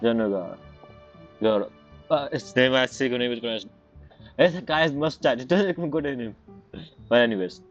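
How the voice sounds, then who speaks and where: Guy doing chill game commentary, mid voice, rambly, muffled, game music behind. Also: medium pitch. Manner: rambling, halting, non-idiomatic phrasing. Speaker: gaming streamer. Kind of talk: casual game playthrough commentary.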